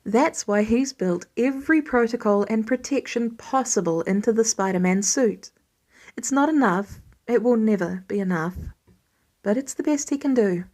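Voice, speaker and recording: A woman's voice: on the high side, moderate pace, a little mellow. A woman reading a scripted piece of fan fiction as narration. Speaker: fan fiction podfic reader.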